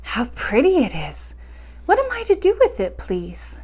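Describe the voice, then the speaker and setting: A woman's voice: sits high, wildly swooping pitch, unhurried, darkish tone, breathy edge, full character voice. A woman voicing an eager, polite, wide-eyed little princess in a storybook read-aloud, speaking in an earnest, exclaiming way. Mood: curious.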